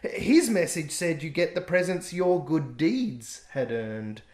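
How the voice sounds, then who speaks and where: Animated man reading a rhyming storybook. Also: a little high, slow. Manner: gentle, expressive read-aloud. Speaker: author reading his book. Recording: echoey room.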